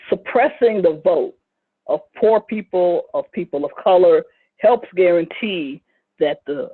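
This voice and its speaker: A woman giving a recorded lecture with slides, speaking in a measured way with frequent fillers and brief asides. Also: lowish voice, breathy edge, dry recording. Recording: tinny phone-like lo-fi recording.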